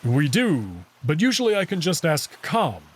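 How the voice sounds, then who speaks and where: A man's voice: a little high, huge pitch swings, unhurried, husky, heavily acted. A man voicing a male wolf prince from a visual novel, caring and easily flustered, talking in a casual, grumbling way. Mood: explaining.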